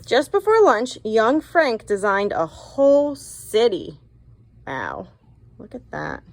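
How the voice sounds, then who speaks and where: Slow-paced woman reading aloud to children. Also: on the high side, no room sound. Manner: expressive, guiding, asks the listeners questions. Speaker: teacher reading a picture book aloud. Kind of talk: read-aloud for children with commentary asides.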